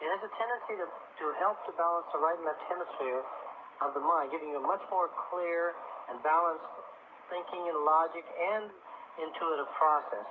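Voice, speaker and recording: A man's voice: fairly high pitch, normal speed. A man speaking as an expert guest on live radio, earnestly explaining things to a caller. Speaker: studio guest, crystal expert. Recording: noisy, phone-like old radio broadcast tape.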